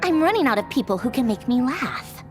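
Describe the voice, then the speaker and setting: A woman's voice: sits high, big pitch swings, fairly quick, music in the background. An actress playing a haughty, sardonic young female villain officer in a video game, dismissive and theatrical, with wistfulness underneath. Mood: bitterly wistful.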